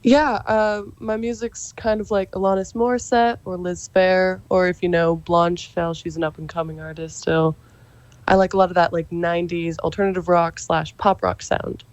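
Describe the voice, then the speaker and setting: A woman's voice: lowish voice, flat delivery, normal speed, no echo. A young adult woman, a musician, answering radio interview questions in a friendly, upbeat way. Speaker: musician guest.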